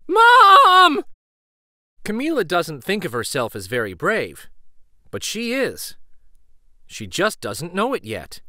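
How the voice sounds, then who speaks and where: A man reading a children's story aloud in an expressive, deliberate way. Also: on the high side, dry recording, clean studio sound. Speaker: storybook reader.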